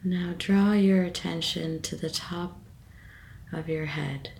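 A woman leading a guided meditation with a gentle, soothing manner and measured phrasing. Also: fairly low pitch, steady tone, creaky, a little hiss or hum.

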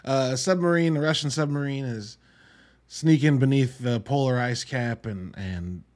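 A man's voice: medium pitch, moderate pace, darkish tone. A man hosting a podcast solo, talking through a movie review in a wry, conversational way with emphatic asides. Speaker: podcast host.

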